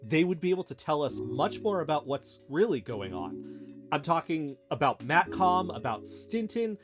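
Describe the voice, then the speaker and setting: A man's voice: on the high side, big pitch swings, normal speed, music in the background. An actor playing a defensive young man with conspiracy theories, insistent in an audio drama. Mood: eager. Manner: insistent, defensive, voice jumping up when accused.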